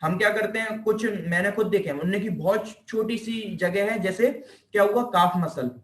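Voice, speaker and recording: A man's voice: sits high, normal speed, a bit bright. A man with an Indian accent giving an informal, emphatic physiotherapy lecture, repeating key phrases, asking rhetorical questions and mixing in English technical terms. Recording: echoey room, distant microphone.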